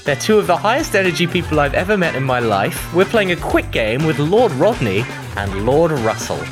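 A man's voice: fairly high pitch, sing-song, moderate pace, over music. A man presenting and interviewing on radio in a chatty style.

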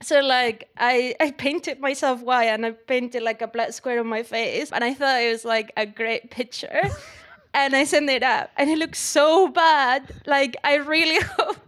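Young woman, high voice, non-native English speaker. Comedian guest, informal, self-deprecating, giggly. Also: fairly even intonation, a little slow, fairly bright tone. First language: not English, grew up outside the UK. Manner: giggly, self-deprecating, laughs through speech. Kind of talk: casual interview chat.

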